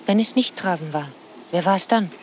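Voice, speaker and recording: A determined woman researcher played by an actress in a radio drama. Her voice sits fairly low and she talks with probing, methodical precision. Some ambient noise sits behind her. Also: fairly quick, natural voice. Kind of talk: German radio drama acting.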